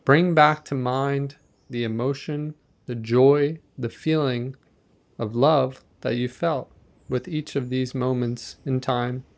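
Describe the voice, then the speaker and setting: A man leading a guided meditation in a gentle, measured, softly instructive manner. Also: medium pitch, steady tone.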